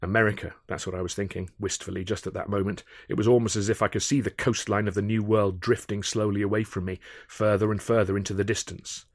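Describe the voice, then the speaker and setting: Low-voiced man narrating a story. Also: flat delivery, fast, no echo, studio quality. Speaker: author narrating own audiobook. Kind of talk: scripted first-person comic adventure narration.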